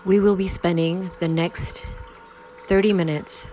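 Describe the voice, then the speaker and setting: A woman guiding a sleep meditation in a slow, soothing, unhurried way with long pauses. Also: on the low side, fairly even intonation, warm tone, faint music behind. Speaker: meditation guide. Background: Tibetan singing bowl tones.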